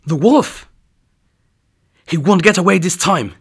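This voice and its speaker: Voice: medium pitch, expressive, slow, husky, voice pushed up, full character voice. A storyteller doing a bold hunter. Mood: triumphant. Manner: loud, hearty exclamations. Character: brave grown man, a hunter, bold and triumphant. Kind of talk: storybook read-aloud.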